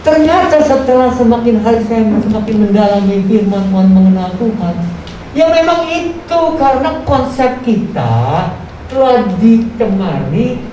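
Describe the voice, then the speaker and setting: Casting: woman preacher in her 70s, higher-pitched voice, exhorting a congregation with repeated phrases, in a very reverberant room. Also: dark tone. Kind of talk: sermon to a congregation. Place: large echoey room at a live church service. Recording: very echoey, distant, noisy live church recording.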